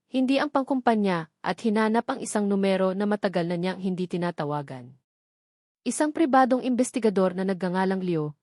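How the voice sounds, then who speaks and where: A woman's voice: medium pitch, darkish tone, dry recording. Female Filipino storyteller for scripted drama narration; mid-range voice, very dry recording.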